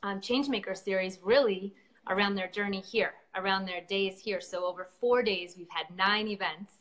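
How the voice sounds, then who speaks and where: A woman's voice: mid-range voice, steady tone, normal speed, a bit bright, rough recording. A woman organizer speaking in a live-stream conversation, earnest and effusive, with lots of ums and restarts. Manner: earnest and effusive, with many fillers. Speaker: event organizer.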